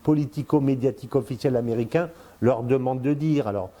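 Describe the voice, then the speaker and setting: A man's voice: medium pitch, a little fast, warm tone. An older man in his sixties giving political commentary in casual speech, hesitant, with many fillers and repeated words, addressing the listener directly. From born in Congo, lived in Africa, Spain, Brazil. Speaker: strategic affairs commentator, guest.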